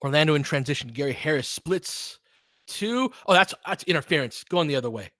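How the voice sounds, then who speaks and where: A man's voice: on the high side, lively intonation, fairly quick, pushed and bright, dry recording. Casual male basketball play-by-play, dry close sound. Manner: clipped phrases, conversational fan-like asides. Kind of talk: casual live game commentary.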